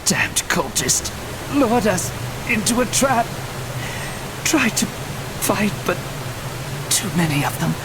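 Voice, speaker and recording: A badly wounded, haunted warrior for a video game. The words come slowly and with strained effort, desperate, with a clear rushing hiss behind them. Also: male voice, high voice, big pitch swings, full character voice. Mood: pained, desperate. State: strained, labouring to speak. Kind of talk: dramatic video game voice acting. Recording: clear hissing rushing noise.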